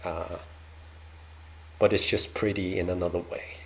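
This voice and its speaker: A man's voice: sits low, flat delivery, unhurried, gravelly, a bit bright, slight background noise. A man from Denmark with a Danish accent, hosting a casual reaction video and talking hesitantly with many ums and restarts.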